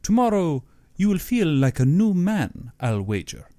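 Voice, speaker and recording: Storybook villain: male reader as a genteel, menacing general, pompous and over-polite, pitched up and swinging widely, unhurried. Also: big pitch swings, dark tone, no echo. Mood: courteous, eager. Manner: pompous, exaggeratedly courteous. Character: genteel, menacing aristocratic general who hunts men.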